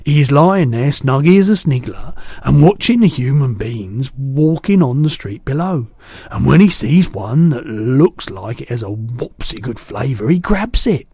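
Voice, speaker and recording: A man reading aloud and voicing a big, kindly male giant who mangles his words, in a louder and higher voice than his own. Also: lively intonation, unhurried, husky, dry recording, clean studio sound. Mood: earnest. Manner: jumbled made-up words, booming and eager.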